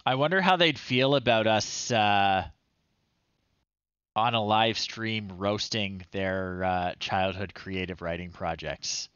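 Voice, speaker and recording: Male stream host, thirties, casual and amused, crisp tone, leisurely delivery. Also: fairly low pitch, a bit bright, dry recording, highs cut off. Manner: unhurried, amused, halting with pauses. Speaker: livestream host. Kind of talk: casual livestream commentary, reading children's stories aloud. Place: small home room, indoors.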